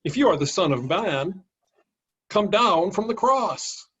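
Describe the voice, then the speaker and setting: A man's voice: high-pitched, animated, a little slow, a bit bright, husky, lo-fi sound, heavily acted. A man voicing scornful, taunting mockers in a dramatic scripture reading, in a jeering, declamatory manner.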